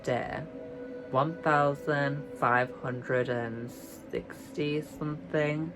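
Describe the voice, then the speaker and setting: A woman's voice: steady tone, music in the background, poor audio. Chatty young British woman with a girly voice. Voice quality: light, high, girly voice. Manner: chatty, self-mocking, drawn-out emphasis. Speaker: vlogger. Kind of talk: casual vlog chat.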